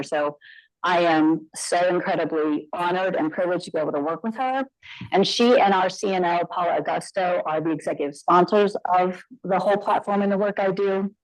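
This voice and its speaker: A woman's voice: fairly low pitch, a little mellow, dry recording, poor audio. A woman nurse informaticist giving a conference presentation into a mic, conversational with frequent fillers and asides.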